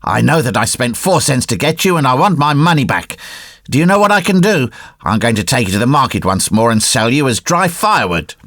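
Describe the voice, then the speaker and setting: A man voicing a gruff, greedy grown man in an audiobook, irritable and demanding. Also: medium pitch, sing-song, fairly quick, a bit bright, dry recording, clean studio sound, pitched above the performer's own voice. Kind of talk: audiobook reading.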